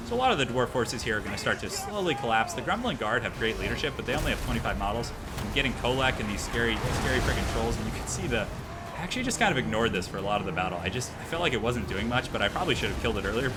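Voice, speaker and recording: Hoarse man casting a strategy game. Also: mid-range voice. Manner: casual, chatty, addresses viewers as guys. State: hoarse from a cold. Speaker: game commentator. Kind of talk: gameplay commentary.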